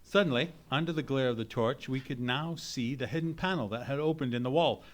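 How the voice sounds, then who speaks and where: An actor playing an earnest gentleman sidekick who tells a mystery in a formal, dramatic radio-play style. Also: mid-range voice, brisk, lightly acted. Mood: dramatic, suspenseful. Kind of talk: dramatic narration in old-time radio play.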